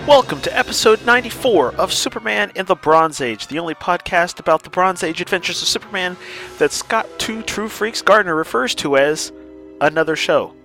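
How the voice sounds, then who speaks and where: A man's voice: high voice, normal speed, creaky, soft background music. A man hosting a podcast, talking casually with a stuffy voice after a sinus infection, joking and poking fun at himself. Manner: lively, joking and self-mocking. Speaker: podcast host.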